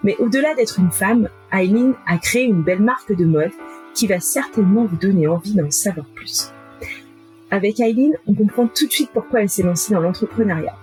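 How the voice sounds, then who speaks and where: An upbeat, friendly woman hosts a podcast, moving from a read introduction into easy chat with her guest. Her voice sits at an ordinary middle pitch. Faint guitar music plays low behind her. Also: fairly quick, a little mellow, low-quality recording. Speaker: podcast host. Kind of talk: podcast hosting, scripted intro then casual chat.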